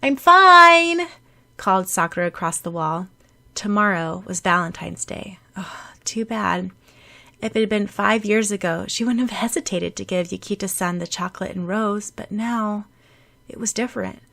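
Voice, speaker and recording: A woman's voice: mid-range voice, steady tone, moderate pace, creaky, fairly bright tone. A woman narrating a story expressively as a scripted audiobook.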